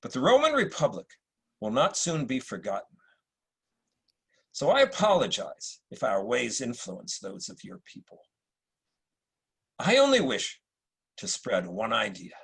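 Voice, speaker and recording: An actor playing a wise Roman emperor in a drama read-through, speaking deliberately with weighty pauses like an orator. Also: male voice, medium pitch, wildly swooping pitch, vocal fry, a bit bright, husky, heavily acted. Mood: reflective, solemn. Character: wise, measured Roman emperor, philosophical and probing. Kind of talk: dramatic table-read acting.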